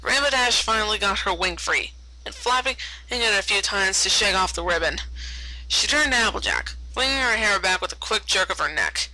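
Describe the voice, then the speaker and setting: A woman's voice: medium pitch, lively intonation, normal speed, sharp tone, low-quality recording. A woman narrating a story aloud as an amateur reader, often stumbling over words and correcting herself. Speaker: amateur fan fiction reader.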